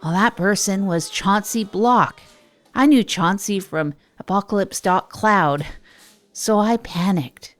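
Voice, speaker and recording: A woman's voice: medium pitch, takes it slowly, close to an everyday voice. A woman playing a hapless amateur comedian, telling stories in a rambling, earnest, self-deprecating way for an audio drama. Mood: rueful, confiding. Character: Tog, hapless adult storyteller and failed stand-up comedian. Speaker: narrator and radio host. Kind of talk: improvised comic monologue in an audio drama.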